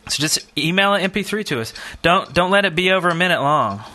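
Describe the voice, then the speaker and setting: A man's voice: high-pitched, expressive, fast talker, sharp tone. A man co-hosting a podcast in casual chat, rambling along in a jokey, self-deprecating way. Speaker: podcast co-host.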